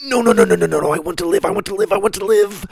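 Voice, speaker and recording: A man voicing a jittery, sarcastic young boy in a high, whiny voice. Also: sing-song, normal speed, creaky, no echo, studio quality, exaggerated voice. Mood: panicked.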